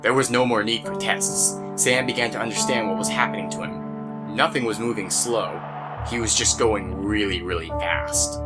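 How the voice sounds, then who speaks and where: A man's voice: lowish voice, moderate pace. A man narrating a scripted story as the narrator of an audio drama. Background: music.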